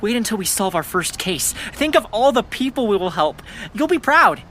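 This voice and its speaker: A woman's voice: medium pitch, big pitch swings, normal speed, fairly bright tone, slight background noise. A woman playing an earnest, self-important sixth-grade boy detective in a scripted audio drama, with pompous, formal diction.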